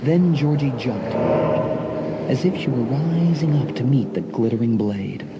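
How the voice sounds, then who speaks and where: A man's voice: medium pitch, animated, a little slow. A man narrating a scripted suspense story for a dramatised audiobook. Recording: dull lo-fi sound, clear background noise.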